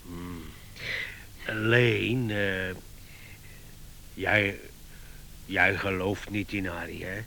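An actor playing an earnest, flustered visitor in a radio comedy, hesitant and stammering yet insistent. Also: male voice, sits low, steady tone, drawn-out words, gravelly, long pauses, sharp tone, a little hiss or hum, full character voice. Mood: hesitant, puzzled. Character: Sam, earnest flustered visitor defending his inventor friend. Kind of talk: radio comedy drama acting.

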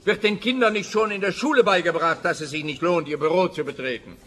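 A man's voice: high-pitched, fairly quick, fairly bright tone, in a large hall, a little hiss or hum. An actor playing a grumpy, weary, sarcastic policeman who grumbles sardonically in a radio play. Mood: mocking. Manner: sardonic, grumbling, long-suffering.

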